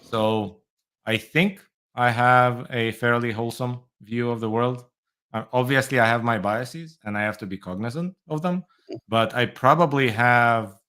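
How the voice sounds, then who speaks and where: A man's voice: medium pitch, bright, forceful tone, clear, resonant tone. A middle-aged man from Moldova who grew up in Israel, answering interview questions thoughtfully with light laughs. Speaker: guest, tech entrepreneur.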